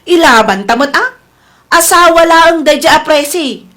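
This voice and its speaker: An actress in a radio drama playing a pushy, scheming sister-in-law who talks in an insistent, coaxing way. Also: female voice, high-pitched, expressive, a little slow, pushed and bright, full character voice.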